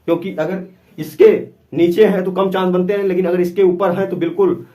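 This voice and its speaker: A man's voice: high-pitched, steady tone, fairly quick, mellow. A man giving an informal, instructive explanation to viewers, often repeating himself and urging them to pay attention. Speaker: exam-prep YouTube presenter.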